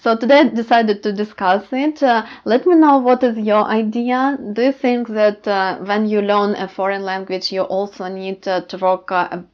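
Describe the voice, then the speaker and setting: Woman, Ukrainian accent, language teacher, casual monologue, frequent fillers, slightly muffled recording. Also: medium pitch. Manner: many "uh" fillers, thoughtful.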